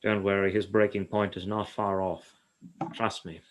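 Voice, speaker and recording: A man's voice: sits low, flat delivery, normal speed, a little hiss or hum, lightly acted. A man acting in a radio play as a solemn, haunted psychiatrist who speaks formally and ominously. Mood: ominous, grave. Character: grave, haunted male psychiatrist who fears the supernatural.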